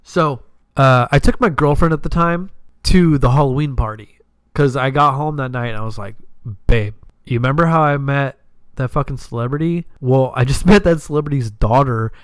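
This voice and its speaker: Guy doing podcast storytime, mid pitch, super casual n sweary, animated, really dry close sound. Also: moderate pace, dry recording. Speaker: podcast host. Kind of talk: casual personal storytelling, acting out dialogue.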